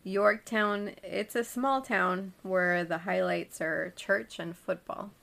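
A woman co-hosting a podcast, telling a story in relaxed, giggly chat. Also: medium pitch, slow, vocal fry. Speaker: podcast co-host.

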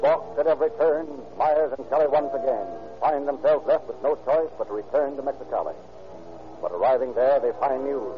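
A man narrates an old radio crime drama in a formal announcer's delivery. His middle-pitched voice carries the scripted story with dramatic weight. The vintage recording is dull, with music underneath. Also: a little fast, long pauses.

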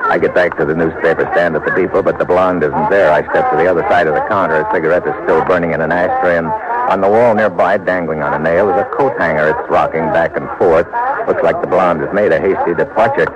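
A man's voice: deep voice, steady tone, fast talker, heavy background noise, lightly acted. A man playing a tough, wry American government agent in an old-time radio drama, talking in a clipped, hard-boiled way. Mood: brisk, hard-boiled narration. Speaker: lead actor and narrator. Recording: dull vintage radio recording.

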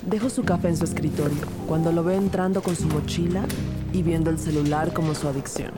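Woman acting a brisk female detective, lowish voice, measured first-person narration, audio drama, loud music underneath. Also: steady tone, close to an everyday voice. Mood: composed, alert. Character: brisk female police detective narrating her own case. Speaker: narrator and lead actor.

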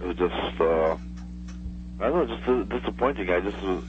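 A middle-aged man chats casually as a radio caller, his voice on the low side. He is hesitant and rambles. The phone sound is dull, with faint hum and noise. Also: animated, moderate pace. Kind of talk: casual chat. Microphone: telephone.

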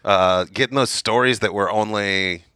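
A man hosting a podcast, chatting and telling a family story at a quick, lively clip. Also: fairly low pitch, clean tone, no room sound. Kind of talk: casual chat.

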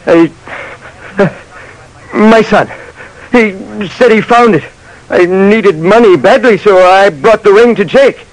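A man's voice: high voice, slow pace, long pauses. An actor playing an anxious, hesitant poor father pleading in a vintage radio drama. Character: anxious gray-faced poor father confessing. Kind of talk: old-time radio drama acting.

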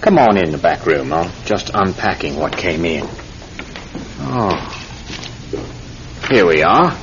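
A man's voice: deep voice, big pitch swings, slow, a bit bright, exaggerated voice. An actor playing a genial, sly tobacconist who coaxes his customer in an old-time radio drama. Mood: coaxing. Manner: ingratiating, coaxing. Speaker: shopkeeper. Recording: dull, noisy vintage radio recording.

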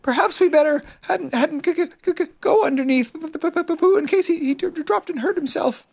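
High, stammering, nervous little piglet. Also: a little fast, creaky. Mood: nervous, fearful. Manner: heavy stammer on first sounds.

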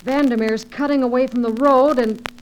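An actress plays a plucky adventuress in vintage radio drama. Her voice is high, earnest and urgent, with worry under the courage. Clear noise covers the old recording. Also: steady tone, moderate pace, close to an everyday voice, low-quality recording. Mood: tense, steady. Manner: earnest, urgent, crisp pleading. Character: plucky, worried female adventurer, loyal companion. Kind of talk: 1940s-50s radio adventure serial acting.

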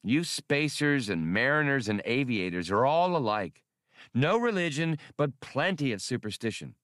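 A man voicing a sharp-tongued, sceptical young woman in an audiobook, with a scornful, challenging manner. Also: medium pitch, sing-song, moderate pace, dry recording, studio quality, voice pushed up.

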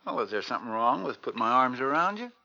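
A man's voice: mid-range voice, big pitch swings, quick pace, gravelly, sharp tone, faint music behind, exaggerated voice. An actor playing an easygoing, affectionate young American husband and father in an old-time radio drama, with breezy, clipped replies. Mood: fond, teasing. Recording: dull old radio recording.

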